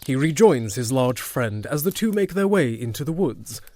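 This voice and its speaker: A man's voice: medium pitch, sing-song, moderate pace, mellow. A man narrating a scripted fantasy audio drama as a storyteller. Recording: music behind.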